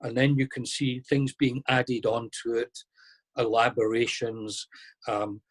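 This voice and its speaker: Husky-voiced man explaining casually. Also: mid-range voice, unhurried. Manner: relaxed, conversational, thinking aloud with restarts. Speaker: lecturer on masonic history. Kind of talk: online lecture Q&A. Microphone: video call.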